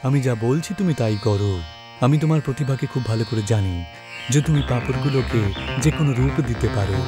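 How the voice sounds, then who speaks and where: A man acting a mystical cartoon holy man, solemn and commanding. He gives orders with measured authority over clearly heard music. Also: mid-range voice, close to an everyday voice.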